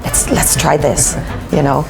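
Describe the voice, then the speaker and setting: A woman's voice: deep voice, steady tone, takes it slowly, gravelly, low-quality recording. An Irish-accented woman telling stories casually in a documentary interview, acting out what others said. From Ireland. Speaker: Irish dancer in a comedy circus show. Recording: music behind the voice. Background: music.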